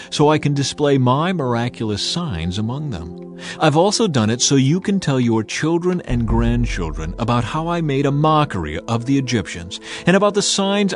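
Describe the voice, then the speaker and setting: Male narrator as a commanding God, declamatory and stern, mid-pitched, scripture drama with light music. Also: natural voice.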